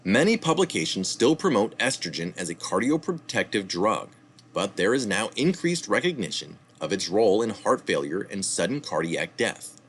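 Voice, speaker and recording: Low-voiced man reading aloud. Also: very animated, normal speed, a little hiss or hum. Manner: reads steadily, adding asides and correcting slips. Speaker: reader of health articles. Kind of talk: reading an article aloud with spoken citations.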